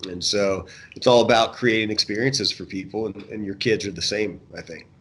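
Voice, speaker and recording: A man's voice: on the low side, steady tone, moderate pace, a bit bright, slightly rough. A man in his thirties or forties, talking casually and thoughtfully as an interview guest. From United States. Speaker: interview guest, fishing guide. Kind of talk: casual reflective interview chat. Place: indoor room, remote video call.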